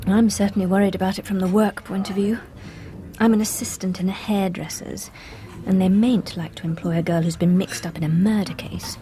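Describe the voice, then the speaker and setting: An actress playing a friendly young hairdresser's assistant in a radio drama, chatty and polite, with an English accent. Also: female voice, medium pitch, steady tone, brisk, dark tone, close to an everyday voice. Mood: friendly, playful. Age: young adult.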